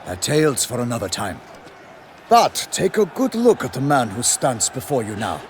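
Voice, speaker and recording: A man's voice: medium pitch, wildly swooping pitch, moderate pace, slight background noise. A man playing a chivalrous, genial knight in a video game, speaking in a florid, formal, declamatory way. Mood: genial, grand.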